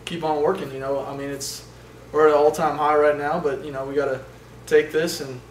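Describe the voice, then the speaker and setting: A young man, a college quarterback at a postgame press conference, answering questions in a halting way with many fillers and restarts. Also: on the high side, little pitch movement. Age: young adult. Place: indoor press room in front of a sponsor backdrop. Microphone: table microphones from a short distance. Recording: roomy, distant sound with faint noise.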